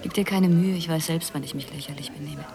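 A woman's voice: low voice, fast, vocal fry, over music. An actress in a radio drama playing a cool, defiant, irritated woman with a curt, dismissive manner.

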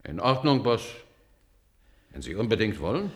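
A man's voice: fairly low pitch, sing-song, normal speed. An actor playing an eager, naive and loyal male robot in a radio play, speaking earnestly and deferentially. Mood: hurt, protesting. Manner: earnest, polite and deferential to his boss. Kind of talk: German radio play acting. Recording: radio play, faint background noise.

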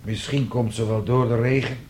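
An actor in a radio drama playing an embittered, disgraced former music teacher, brooding and self-justifying, with a rough voice. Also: male voice, mid-range voice, fairly even intonation, normal speed, a little mellow, a little hiss or hum, natural voice. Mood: dry. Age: middle-aged.

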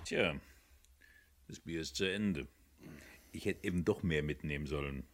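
An actor playing an eager, blunt accomplice in a German radio crime drama. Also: male voice, extremely low pitch, a little slow, fairly bright tone. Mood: cheerful, eager. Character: eager, brash male accomplice in treasure diving. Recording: radio play with noisy outdoor ambience.

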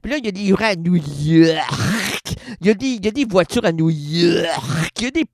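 Québécois male radio host, lively rambling comic patter, dry studio sound. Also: high voice, normal speed, vocal fry, no echo. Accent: Québécois French. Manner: playful, rambling, swings between loud and quiet.

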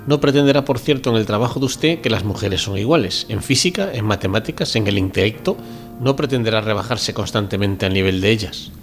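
A man's voice: fairly low pitch, fairly quick, faint music behind, lightly acted. A man acting an earnest, conventional doctor in a radio drama, formal and polite, with a hesitant, flustered manner. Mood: polite, uneasy.

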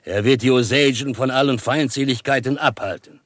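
An actor playing a proud, solemn chief held prisoner in a western radio drama, speaking formally and with measured gravity. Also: male voice, mid-range voice, no room sound, close to an everyday voice. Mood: solemn, earnest. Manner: formal, measured, speaks of himself in third person.

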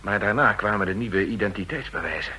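An earnest, worried official. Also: male voice, lowish voice, a little fast, bright, forceful tone, a little hiss or hum. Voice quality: earnest, anxious. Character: worried male radio station official, earnest. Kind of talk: vintage radio drama acting.

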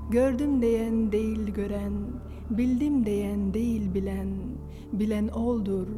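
A woman reciting verse solemnly, with a drawn-out, incantatory delivery. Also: medium pitch, steady tone. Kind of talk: solemn Turkish poetry recitation. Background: music.

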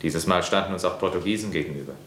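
An actor playing a measured, pedantic man in a radio play, speaking deliberately and correcting others. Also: a bit bright. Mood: matter-of-fact. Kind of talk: German radio play acting. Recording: echoey room, faint rumbling noise.

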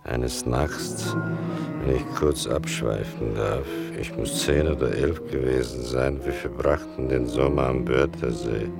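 An actor playing a wry, unashamed grown son narrating his mother's story in a radio play, deadpan and formal. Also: rumbling low, creaky, loud music behind the voice, heavily acted. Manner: deadpan, deliberate, provocatively formal.

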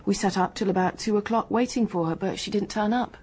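An actress playing a young, guarded, matter-of-fact English dance hostess in a radio drama, speaking in a brisk, frank, colloquial way. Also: female voice, medium pitch, darkish tone, husky, close to an everyday voice. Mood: defensive, frank. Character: hard-headed working-class hotel dance hostess, guarded, matter-of-fact.

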